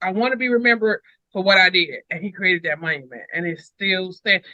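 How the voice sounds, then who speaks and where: A man's voice: high-pitched, brisk, sharp tone, no room sound, muffled recording. A man talking casually through scripture as a co-host, emphatic and repeating phrases for weight. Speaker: co-host of a Bible read-along discussion.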